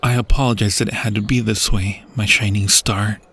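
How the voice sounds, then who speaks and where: A man playing a dying, war-weary king and loving husband in a fantasy audio roleplay, husky, tender and broken, with archaic phrasing. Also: fairly low pitch, steady tone, a little fast, a bit bright. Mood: tender, longing. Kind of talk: fantasy ASMR roleplay acting.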